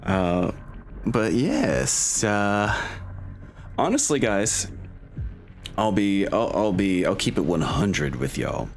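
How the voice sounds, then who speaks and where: Deep-voiced man running a tabletop game. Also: sing-song. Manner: halting, thinking aloud. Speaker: game master. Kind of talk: casual tabletop roleplay narration and chat.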